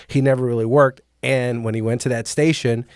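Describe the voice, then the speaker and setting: A man's voice: mid-range voice, fairly even intonation, brisk, smooth, dry recording, studio quality. A man hosting a casual podcast, rambling and joking as he tells stories and nerds out about music. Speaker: podcast host.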